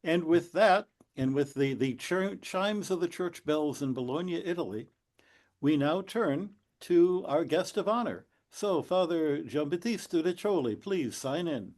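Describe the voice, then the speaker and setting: A man's voice: fairly high pitch, expressive, a little slow, darkish tone. A man hosting a video-call interview, asking questions in a playful, teasing way. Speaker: host.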